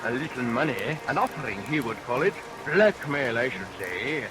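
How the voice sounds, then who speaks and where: An actor playing a scheming, murderous villain barber in a vintage radio melodrama, declaiming theatrically and relishing each threat. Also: male voice, medium pitch, expressive, normal speed, music in the background, natural voice, rough recording. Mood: gloating, scheming. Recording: vintage radio recording under rain noise.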